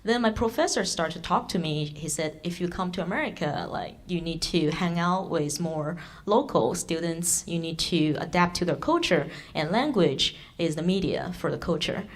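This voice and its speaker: Low-voiced woman, native Chinese speaker. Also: lively intonation, moderate pace, hardly any pauses. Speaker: panelist, former student.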